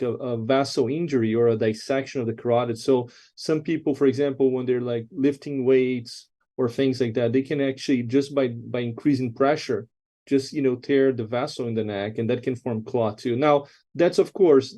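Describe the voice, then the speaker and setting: A man's voice: medium pitch, dark tone. A man speaking as an expert doctor, explaining things conversationally and often checking in with the listener. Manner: explanatory, frequent check-in tags.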